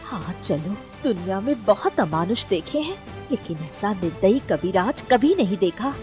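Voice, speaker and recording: Cartoon role for a woman: poor village mother, plaintive, swooping intonation, clear music behind. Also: medium pitch, very animated, moderate pace, a little mellow, natural voice. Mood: bitter. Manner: pleading, plaintive. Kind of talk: Hindi cartoon story dialogue.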